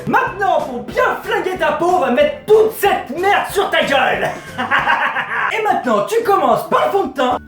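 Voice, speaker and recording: A woman giving a crude comic makeup tutorial, brash and mocking, shouting her punchlines. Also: very high voice, expressive, unhurried, reverberant.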